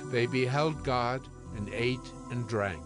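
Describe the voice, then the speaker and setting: A man narrating scripture from a script in a solemn, measured storytelling manner. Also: mid-range voice. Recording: prominent music bed behind narration.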